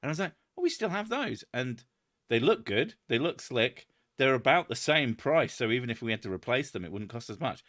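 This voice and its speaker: A man hosting a casual chat, loud and booming, swearing freely as he answers viewer comments. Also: big pitch swings, fairly quick, no echo, studio quality. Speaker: channel host.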